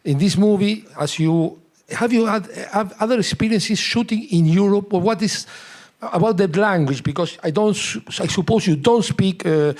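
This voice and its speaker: High-voiced Argentine journalist. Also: male voice, darkish tone. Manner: halting, searching for words.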